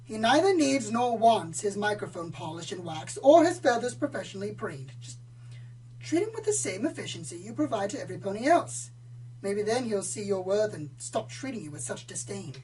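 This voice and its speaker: A woman's voice: mid-range voice, very animated, normal speed, a little mellow, natural voice. A woman playing a prim, easily exasperated theatrical pony in an audio drama, speaking in formal, haughty, dramatic phrases. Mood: exasperated, advising. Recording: distant mic, noisy, humming.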